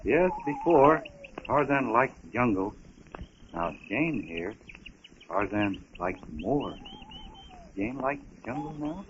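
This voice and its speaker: A wild, simple-hearted ape man speaks in halting, terse pidgin with wide swings of pitch. The 1930s recording is muffled and plainly noisy, with jungle creatures calling behind him. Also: animated. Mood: tender. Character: wild ape man hero, simple, tender, broken English. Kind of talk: 1930s radio acting.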